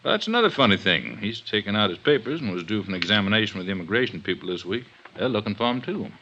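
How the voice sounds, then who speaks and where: An actor playing a blunt, weary police inspector in a 1950s radio drama, plain-spoken and serious. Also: male voice, fairly low pitch, very animated, fast, lo-fi sound, very noisy. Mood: businesslike.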